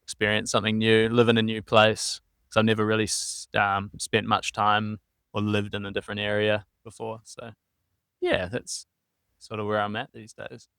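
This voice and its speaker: Smooth-voiced young New Zealand man. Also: fairly low pitch, moderate pace, no room sound, studio quality. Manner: relaxed, meandering storytelling with frequent ums. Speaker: podcast guest. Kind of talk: casual interview chat.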